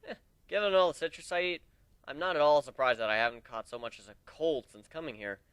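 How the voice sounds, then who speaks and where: A cocky young griffin captain , acted out by a man for a story reading. The voice sits a little high in a dry, close recording, with a casual, boastful swagger. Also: fast, fairly bright tone, clear, resonant tone, no echo, close to an everyday voice. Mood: cocky, animated. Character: cocky young male griffin ship captain, brash fighter.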